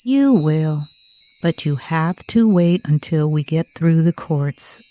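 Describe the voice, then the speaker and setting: A woman playing a calm advocate who rescues banned books in a stage puppet musical, speaking in a measured, proclamatory way. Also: deep voice, slight background noise. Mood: reassuring, solemn.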